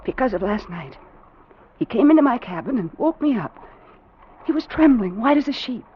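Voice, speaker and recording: An actress playing a frightened, pleading captain's wife in a melodramatic old radio drama, breathless and urgent. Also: female voice, on the high side, sing-song, normal speed. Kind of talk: melodramatic 1940s radio acting. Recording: dull, faintly noisy vintage radio recording.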